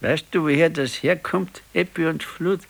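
An actor playing an ailing, brooding old retired husband in a radio play, with a Bavarian accent and a resigned, halting, plain-spoken manner. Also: male voice, a little high, fairly even intonation, vocal fry, slightly rough, exaggerated voice. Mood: animated recalling. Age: older. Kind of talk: naturalistic 1970s radio drama acting.

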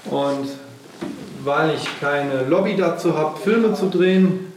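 A man's voice: fairly high pitch, slow. A man talking casually and rambling about his art and plans, often tagging his sentences. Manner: rambling, repeats "wohl". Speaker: artist. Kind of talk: casual documentary talk. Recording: roomy, distant mic, noisy background.

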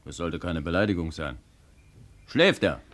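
An actor playing a brisk, blunt town lawyer in a 1950s German radio play, formal, direct and dryly amused. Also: male voice, sits low, very animated, fairly bright tone, exaggerated voice. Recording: vintage 1950 radio recording.

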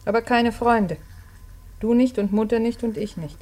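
An actress playing a lonely, candid young daughter in a German radio drama, plain and earnest with quiet defiance. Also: female voice, fairly high pitch, moderate pace, lightly acted. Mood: bitter, earnest. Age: young adult.

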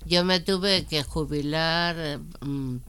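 A woman in her seventies chatting on a podcast, talkative and quick to laugh. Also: sits low, steady tone, a loud crowd. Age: 70s. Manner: chatty, warm-hearted, laughs often. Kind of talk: casual chat.

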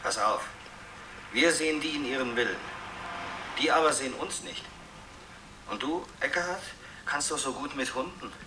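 A man's voice: medium pitch, normal speed, pauses often, lightly acted. An actor in a radio drama playing a scheming, grandiose male drifter, mocking and commanding. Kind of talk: German radio drama acting. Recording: distant, clearly noisy.

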